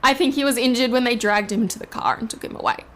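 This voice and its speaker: A woman's voice: fairly high pitch, quick pace, fairly bright tone, natural voice. An actress playing a frightened, distraught woman pleading for help in an audio drama. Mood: panicked.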